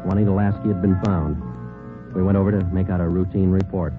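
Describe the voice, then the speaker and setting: Deep-voiced, deadpan American police sergeant played by a man. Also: fairly quick, narrow, tinny audio, close to an everyday voice. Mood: matter-of-fact. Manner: clipped, deadpan. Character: terse, world-weary male police sergeant. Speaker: lead actor and narrator. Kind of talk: 1940s radio detective acting. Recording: old 1940s radio recording, music behind.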